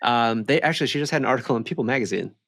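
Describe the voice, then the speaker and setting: A man's voice: mid-range voice, expressive, racing, fairly bright tone. A young man co-hosting a podcast in casual, slangy chat full of bro and dude. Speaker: podcast co-host.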